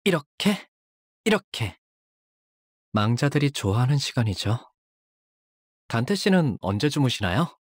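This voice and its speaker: An actor voicing a young male fighter in a video game, polite and soft-spoken with dramatic delivery. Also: medium pitch, very animated, a little slow, long pauses, husky, no echo, clean studio sound. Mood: polite, upbeat. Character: earnest, anxious young man, a devoted shielding fighter; tormented young male zealot who purifies with fire. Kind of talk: video game character voice lines.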